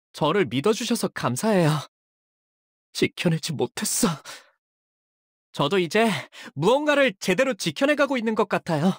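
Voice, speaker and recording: An actor voicing a young male fighter in a video game, polite and soft-spoken with dramatic delivery. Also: mid-range voice, no room sound, clean studio sound. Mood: earnest, anxious. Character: earnest, anxious young man, a devoted shielding fighter; tormented young male zealot who purifies with fire. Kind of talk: video game character voice lines.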